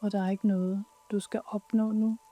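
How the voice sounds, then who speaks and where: A woman leading a guided yoga nidra meditation in a soothing, soft, unhurried way with pauses. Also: medium pitch, flat delivery.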